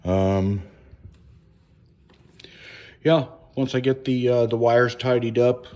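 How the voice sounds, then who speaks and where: A man giving a casual, unscripted hands-on walkthrough at home, speaking haltingly with frequent pauses mid-sentence. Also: mid-range voice, darkish tone. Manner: halting, hesitant, pausing often mid-sentence. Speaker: home DIY reviewer. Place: indoors at home.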